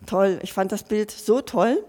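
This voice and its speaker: A woman's voice: medium pitch, unhurried, mellow. A woman giving a sermon, earnest and personal, speaking straight to her listeners and often restarting or repeating her phrases as she thinks aloud. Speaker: preacher.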